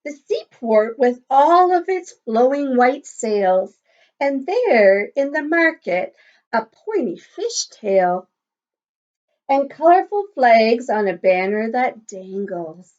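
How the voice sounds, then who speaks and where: Woman, high voice, unhurried pace, encouraging teacher talk, children's story read-aloud, little questions to the listeners, slightly lo-fi sound. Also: big pitch swings, darkish tone. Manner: encouraging, sing-song teacher talk addressed to small children. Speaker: teacher reading a picture book to young children.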